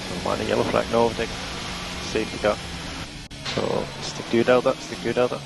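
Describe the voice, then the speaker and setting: A man working as a race engineer, giving measured, matter-of-fact instructions over team radio and repeating the key ones. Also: fairly low pitch, little pitch movement, gravelly. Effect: team radio transmission. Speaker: Formula One race engineer. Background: vehicle and engine noise.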